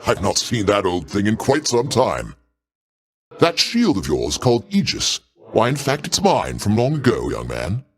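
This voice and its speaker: A man's voice: lowish voice, wildly swooping pitch, unhurried. A man voicing the king of the gods as a genial but pompous, imperious uncle in a video game, speaking in formal, grandiose phrases. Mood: gracious, pleased. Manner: grandiose, avuncular, formal diction with dramatic swells. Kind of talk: theatrical game character voice lines.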